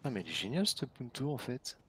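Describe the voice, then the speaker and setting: A man's voice: medium pitch, sing-song, fairly quick, husky. A man making casual, polite small talk in character in a roleplay game.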